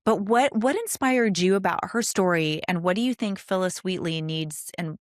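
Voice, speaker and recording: Woman, podcast host, low pitch, enthusiastic, emphatic, conversational, dry sound. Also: moderate pace, no echo. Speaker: podcast host interviewing an author. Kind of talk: conversational interview.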